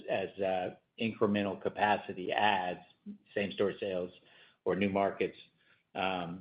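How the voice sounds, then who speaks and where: A man answering questions as an executive on an earnings call, explaining in a measured, unscripted way with repeated words. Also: lowish voice. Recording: dull, band-limited conference call audio.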